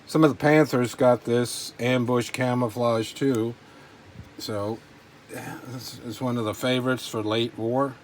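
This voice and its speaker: A man's voice: medium pitch, fairly even intonation, measured pace. A man giving a casual, unscripted show-and-tell as a collector, talking in an informal, rambling and enthusiastic way. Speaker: collector presenting models and memorabilia. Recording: clear background noise.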